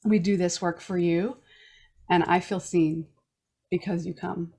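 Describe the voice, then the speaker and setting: A woman from Newfoundland hosting a wellness podcast, reading a reflective personal statement in a slow, earnest way. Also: lowish voice, steady tone, warm tone, breathy edge. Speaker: podcast host. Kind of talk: reading a personal land acknowledgment and intro.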